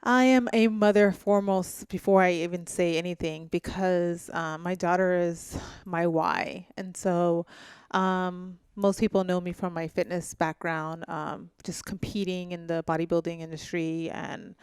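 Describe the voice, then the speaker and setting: A woman in her thirties co-hosting a casual podcast, frank and quick to laugh. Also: lowish voice, steady tone, a little mellow, clear, resonant tone, no room sound, clean studio sound. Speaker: podcast co-host. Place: living room at home.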